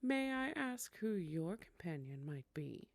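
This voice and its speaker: A woman's voice: fairly low pitch, sing-song, a little slow, gravelly, dry recording, clean studio sound, full character voice. A woman playing a teasing, courtly vampire hostess in a scripted ASMR roleplay, speaking in a coy, formal way.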